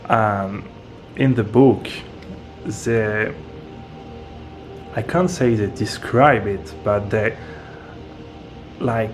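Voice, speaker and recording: A man with a lowish voice speaks very slowly as an interview guest, pausing often as he searches for his words. Faint music plays behind him. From France. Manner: slow, hesitant, searching for words. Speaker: interview guest, medium and author. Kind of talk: casual interview talk.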